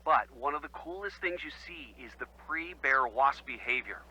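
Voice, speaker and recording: An actor playing an upbeat, earnest college-age American boyfriend chatting on a phone call in a video game. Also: male voice, medium pitch, lively intonation, normal speed, bright, forceful tone, slight background noise. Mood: reassuring. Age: young adult.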